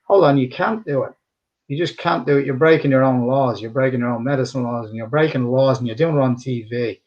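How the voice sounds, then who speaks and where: Middle-aged man, Dublin Irish accent, mid-pitched voice, earnest, emphatic, rambling with restarts, interview guest. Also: brisk, muffled recording. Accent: Dublin Irish, somewhat polished. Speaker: boxing and MMA cutman, interview guest. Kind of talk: conversational interview answers.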